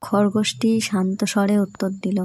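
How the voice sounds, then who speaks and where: A woman's voice: mid-range voice, completely flat delivery, normal speed, a little mellow, slight background noise. A woman narrator reading a scripted fairy tale for children.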